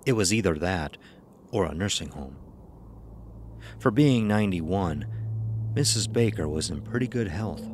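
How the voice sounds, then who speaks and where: A man narrating a scripted horror story in a measured, ominous manner. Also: sits low, animated, darkish tone, slight background noise.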